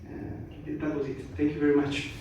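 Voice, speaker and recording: Man, halting formal speech, echoey hall. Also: fairly high pitch, little pitch movement, dark tone. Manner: halting, many hesitations and restarts. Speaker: guest speaker. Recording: echoey hall, distant mic, faint noise.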